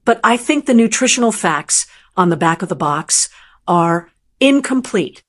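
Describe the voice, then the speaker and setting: A woman's voice: mid-range voice, lively intonation, a little slow. A woman voicing a discreet older male accountant who speaks in dry, coded understatement. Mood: sly.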